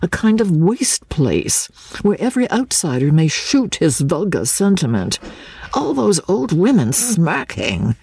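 A man's voice: high-pitched, sing-song, a little slow, creaky, a little mellow, breathy edge, no echo, pitched above the performer's own voice, exaggerated voice. A woman voicing a supercilious, irritable young Englishman in an audiobook, pompous and affectedly worldly. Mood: disdainful. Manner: pompous, hesitant restarts.

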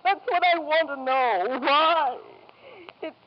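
A woman's voice: high-pitched, slow. An actress playing a grieving, hard-drinking middle-aged mother in an old-time radio drama, bitter, weary and theatrical. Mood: anguished. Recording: dull, noisy 1940s-50s radio recording.

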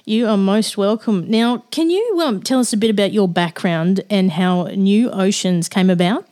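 An Australian woman hosting a podcast, reading an earnest, measured introduction and asking her guest questions. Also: mid-range voice, mellow. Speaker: podcast host.

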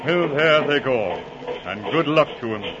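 A man's voice: mid-range voice, lively intonation, a little slow, lightly acted. A man playing a folksy, scrappy Irish railroad man with colourful, teasing talk, in 1940s radio drama style. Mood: wry. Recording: dull, noisy vintage radio recording.

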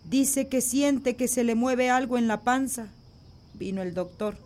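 A woman's voice: fairly high pitch, measured pace, darkish tone, natural voice. An actress playing a worried village mother in a radio drama, anxious and pleading.

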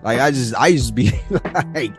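A man co-hosting a casual podcast chat, animated and profane, his voice lifting into a sing-song when he gets worked up, with music underneath. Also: mid-range voice, normal speed. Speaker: podcast co-host.

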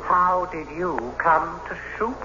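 A man's voice: a little high, slow pace. An actor playing a bitter, paranoid husband with murder on his mind, theatrical and sardonic, in an old-time radio horror drama. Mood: taunting. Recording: muffled, very noisy vintage radio recording.

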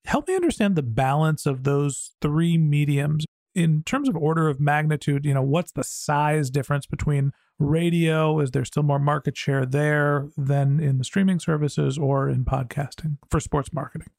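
A man's voice: fairly high pitch, normal speed, creaky, hardly any pauses, a little mellow, no room sound, clean studio sound. A middle-aged man hosting a podcast interview in a chatty, enthusiastic, conversational way. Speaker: podcast host.

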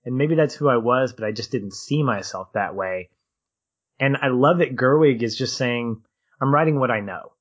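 A man's voice: mid-range voice, moderate pace, highs cut off. Man from Arkansas chatting about films. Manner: animated, laughs easily, restarts sentences. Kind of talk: casual film discussion.

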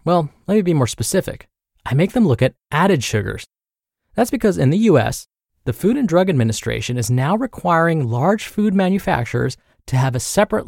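An American man hosting a podcast, reading and explaining in a clear, instructive, emphatic way. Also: on the high side, a little mellow, no echo, clean studio sound. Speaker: podcast host and nutrition teacher. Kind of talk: scripted narration and spoken commentary.

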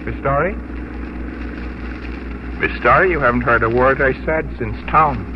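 A man acting an eager, charming young well-to-do gentleman courting someone, in 1950s radio drama style. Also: mid-range voice, unhurried, lightly acted. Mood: smitten, eager. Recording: noisy, muffled 1950s radio broadcast.

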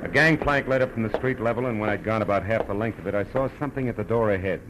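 A man's voice: fairly low pitch, fast, a bit bright, highs cut off, slight background noise, lightly acted. An American actor playing a wry, hard-boiled private detective in clipped, tough 1940s radio style, narrating and talking through his case. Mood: wry, tense narration. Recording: old radio recording, music behind.

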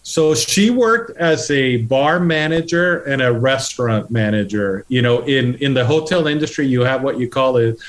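Middle-aged guest, mid-pitched, earnest storyteller with restarts. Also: male voice, normal speed, few pauses. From Puerto Rico. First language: Spanish. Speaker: guest, political candidate. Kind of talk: conversational interview.

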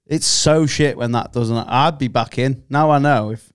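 A man's voice: medium pitch, moderate pace, smooth, no room sound, clean studio sound. A man in casual chat as a podcast co-host, swearing and joking. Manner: banter with swearing and jokey put-on voices.

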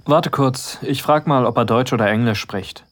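A man playing a calm, friendly young boyfriend on holiday, speaking in a relaxed, reassuring way in an audio drama. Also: mid-range voice, normal speed, lightly acted.

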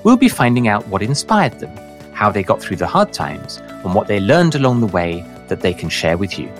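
Man, podcast host, low voice, animated pitch, measured scripted delivery. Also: soft background music. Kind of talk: scripted podcast introduction and interview.

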